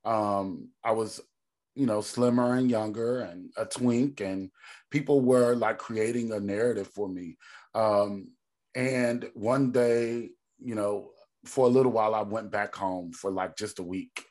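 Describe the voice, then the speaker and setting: A man in his thirties from Texas with a deep voice, a podcast guest telling his story in a reflective way and thinking aloud with many ums. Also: unhurried, darkish tone.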